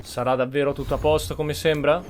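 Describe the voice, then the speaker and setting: A man's voice: fairly high pitch, little pitch movement, fast. An Italian man giving casual commentary as he streams a game. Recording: game music behind the voice.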